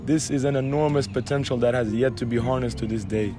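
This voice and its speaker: A man's voice: medium pitch, a little fast. A man from Algeria, a guest, speaking in an assertive, emphatic, speech-like way. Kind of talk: conversational interview.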